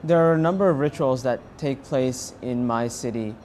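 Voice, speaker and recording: A young man with an American accent giving a personal testimony in an earnest, halting way with pauses. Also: medium pitch, darkish tone, slight background noise. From California, United States. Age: young adult. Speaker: university student interviewed as a pilgrim.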